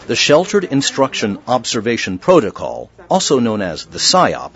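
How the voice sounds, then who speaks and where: A man giving scripted documentary narration in a measured, informative manner. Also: mid-range voice, huge pitch swings, a bit bright, a little hiss or hum. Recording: dull, muffled sound.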